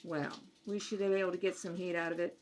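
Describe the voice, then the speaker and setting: A woman's voice: on the low side, level tone, fast, natural voice, poor audio. A woman playing a practical, dry and direct policewoman in a radio drama. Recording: homemade kitchen-table recording with background noise.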